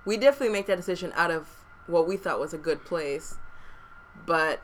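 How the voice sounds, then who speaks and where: A woman's voice: fairly low pitch, brisk, slight background noise, low-quality recording. A woman co-hosting a casual podcast about real estate investing, talking frankly with lots of "like" fillers into a desktop microphone. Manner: frank, chatty, many "like" fillers. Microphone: desktop USB microphone.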